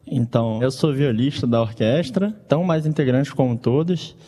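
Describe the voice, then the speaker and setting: Mellow Brazilian man explaining, reverberant. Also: mid-range voice, moderate pace. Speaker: orchestra violist, guest. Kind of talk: casual explanatory interview talk.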